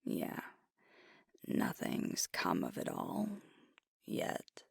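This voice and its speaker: A woman playing a troubled, affectionate alpha werewolf girlfriend in an ASMR roleplay, speaking softly and breathily in a halting, confiding way. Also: dry recording, clean studio sound. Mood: troubled, irritated. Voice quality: breathy, soft, often whispered. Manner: halting, confiding, weary and vexed. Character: frustrated, affectionate female alpha werewolf girlfriend, a stressed leader.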